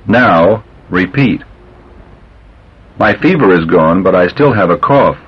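A man reading English drill sentences for a language tape, enunciating slowly and deliberately. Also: low-pitched, animated, husky. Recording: dull old language tape.

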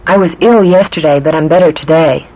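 A man reading English practice sentences aloud, clearly and carefully, on a language course tape. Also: on the high side, brisk. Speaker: drill speaker on language tape. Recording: dull old language tape.

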